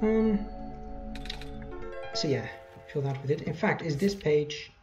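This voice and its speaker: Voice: mid-range voice, expressive, slow, dull audio, music in the background. A let's play host giving casual, rambling game commentary with self-deprecating asides.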